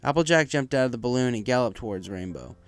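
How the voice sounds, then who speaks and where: A man's voice: mid-range voice, expressive, fast, dry recording. A man reading a fan fiction story aloud as a scripted narration. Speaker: story reader. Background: music.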